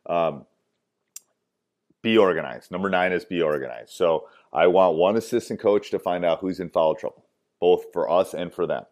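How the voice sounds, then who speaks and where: A man with a loud voice teaching basketball coaching tips, emphatic and repetitive, with frequent "um"s. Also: deep voice, normal speed. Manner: emphatic, repeats key points, many fillers. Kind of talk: coaching clinic talk.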